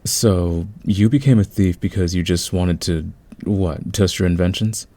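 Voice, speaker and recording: A man plays an easygoing thief in an audio roleplay, curious about his teammates. His voice is very low and warm, with a relaxed, conversational and encouraging delivery. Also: measured pace.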